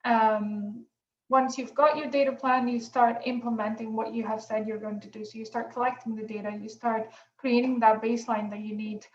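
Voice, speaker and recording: A woman from Greece moderating an online workshop over a video call, relaxed, with many pauses and fillers. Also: fairly high pitch. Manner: relaxed, many ums, thinking aloud. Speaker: workshop facilitator. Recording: echoey room, dull lo-fi sound.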